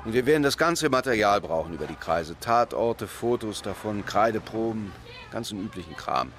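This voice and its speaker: Police commissioner, fairly low, muttering, terse, foreboding, outdoor radio-play scene. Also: male voice, animated, moderate pace, fairly bright tone, slight background noise. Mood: grave, terse. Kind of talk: radio crime drama dialogue.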